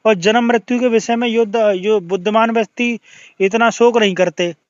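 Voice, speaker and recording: Guy arguing religion on a call, pretty high smooth voice, dull lofi phone audio, keeps asking questions. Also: fairly even intonation, normal speed, no room sound. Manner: argumentative, questioning, quoting scripture from memory. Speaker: debater on a recorded call. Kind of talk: religious debate over a call.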